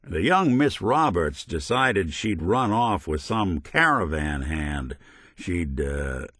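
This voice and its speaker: A man's voice: sits low, expressive, slow pace, fairly bright tone, dry recording, clean studio sound, heavily acted. A male actor playing a world-weary, principled android detective in a video game, with a wry, folksy hardboiled way of talking and old-fashioned slang. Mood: wry, reminiscing. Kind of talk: video game companion dialogue, noir detective.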